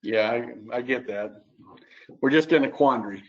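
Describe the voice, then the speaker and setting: A man from Washington State asking an informal question as a webinar participant, halting, concerned and plain-spoken. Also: medium pitch. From Washington State, United States. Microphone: web conference call. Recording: muffled, lo-fi web call audio.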